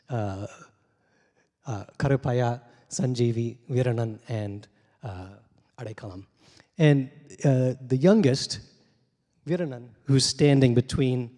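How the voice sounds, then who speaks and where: Slightly hoarse man telling a story on stage. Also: mid-range voice. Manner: reflective, gently humorous, many "uh" fillers. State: slightly hoarse from many interviews. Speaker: translator and poet. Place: theater stage, dark room. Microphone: handheld mic. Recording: long reverb of a theater hall.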